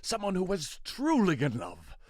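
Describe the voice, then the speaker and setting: A man's voice: high-pitched, huge pitch swings, moderate pace, a little mellow, no room sound, studio quality, heavily acted. A man playing an imperious, scheming fairy king in an audio drama, with a commanding and dramatic delivery. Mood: exasperated. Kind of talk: theatrical audio drama acting.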